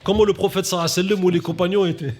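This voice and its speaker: A man hosting a live talk, animated, who often drops Arabic religious phrases and lifts his voice to stress points. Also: rapid-fire. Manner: animated, rising pitch when stressing points. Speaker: conference host. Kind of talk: conversational talk on stage.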